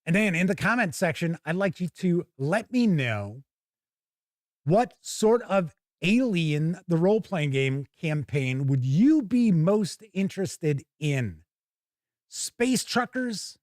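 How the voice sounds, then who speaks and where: Casual higher-pitched man hosting a livestream. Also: a little mellow, no room sound, studio quality. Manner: informal, thinking aloud. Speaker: host of a tabletop gaming livestream. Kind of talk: casual live unboxing chat to viewers.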